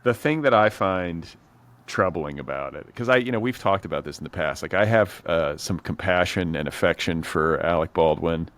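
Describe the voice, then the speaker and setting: A man's voice: deep voice, normal speed, fairly bright tone. A middle-aged man hosting a casual pop culture podcast, rambling and hedging as he talks. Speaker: podcast host.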